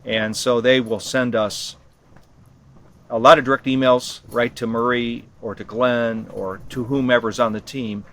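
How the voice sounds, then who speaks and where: A man giving unscripted explanations in an interview, halting and matter-of-fact in his delivery. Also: mid-range voice, level tone, fairly bright tone. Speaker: expedition team member being interviewed. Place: ham radio operating station on a remote island. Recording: clear background noise, on-site ambience.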